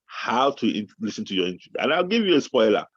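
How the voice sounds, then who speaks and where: A man's voice: on the low side, quick pace, no room sound, lo-fi sound. A Nigerian-born man whose first language is Yoruba, co-hosting a motivational talk show with preacher-like build-ups. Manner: animated, preacher-like build-ups, bursts into shouting. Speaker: podcast co-host.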